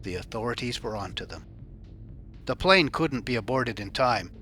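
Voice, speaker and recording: A man's voice: medium pitch, expressive, moderate pace, sharp tone. Male crime reporter narrating over music. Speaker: host of a crime news report channel.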